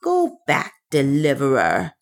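A woman's voice: extremely low pitch, wildly swooping pitch, slow, full character voice. A woman voicing a commanding, fervent female traitress in an audiobook, solemn and emphatic, declaiming her news. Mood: ominous. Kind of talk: audiobook character dialogue.

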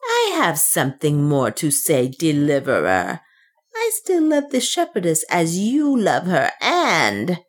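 A woman's voice: lowish voice, wildly swooping pitch, takes it slowly, exaggerated voice. A woman voicing a commanding, fervent female traitress in an audiobook, solemn and emphatic, declaiming her news. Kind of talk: audiobook character dialogue.

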